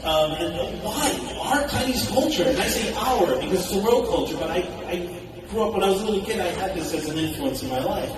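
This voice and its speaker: A man's voice: high-pitched, lively intonation, a little fast, a crowd in the background. A man giving an enthusiastic, conversational conference speech, often posing questions to the audience. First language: English. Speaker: conference speaker. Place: large hall. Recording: echoey hall recorded from a distance, audible noise.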